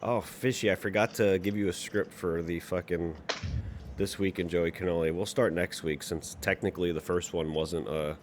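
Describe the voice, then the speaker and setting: A man's voice: low voice, steady tone, normal speed, a little hiss or hum. A man hosting a livestream, chatting casually with co-hosts and viewers in a crude, sweary, bantering manner. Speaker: livestream host.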